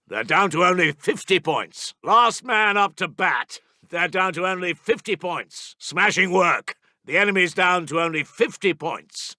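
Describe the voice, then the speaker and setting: A man with a British accent playing a brisk, stiff-upper-lipped Second World War army intelligence officer making formal announcements in a video game. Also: sits high, pushed and bright, no echo, clean studio sound, heavily acted. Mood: rousing, triumphant. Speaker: military announcer.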